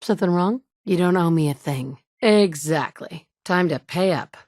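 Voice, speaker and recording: A woman's voice: fairly low pitch, normal speed, a little mellow, no room sound, clean studio sound, lightly acted. A woman voicing a tough, blunt female survivor in a game, with curt, commanding lines. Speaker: player character. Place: recording studio. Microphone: close studio mic.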